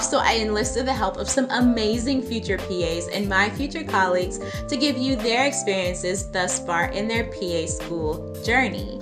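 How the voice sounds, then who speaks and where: Earnest woman over a music bed. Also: mid-range voice. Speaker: documentary host. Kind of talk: personal documentary introduction.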